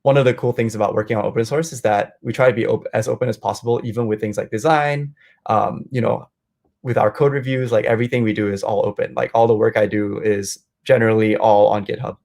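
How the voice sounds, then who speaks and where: A man from Malaysia giving a relaxed, filler-heavy presentation as guest speaker on a video call. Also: on the low side, fast, creaky. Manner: relaxed, many ums and likes. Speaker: guest speaker, software engineer. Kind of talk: online meetup presentation.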